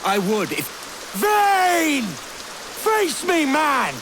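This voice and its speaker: Bold pirate captain with animated delivery. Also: male voice, extremely high pitch, very slow, background noise, full character voice. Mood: pleading, frustrated. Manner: commanding, blunt, impatient. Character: bold, exasperated pirate captain, trying to reason. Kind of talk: dramatic video game acting.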